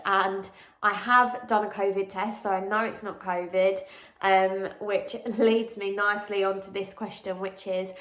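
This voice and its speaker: English woman, late twenties, blocked-up nasal voice, a little out of breath, casual q&a vlog, middle pitch, roomy echo. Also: fairly bright tone. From England. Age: late 20s. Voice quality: bunged-up, nasal. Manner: chatty, frank, with frequent ums. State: congested from a cold, a bit out of breath. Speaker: vlogger. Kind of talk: casual pregnancy Q&A.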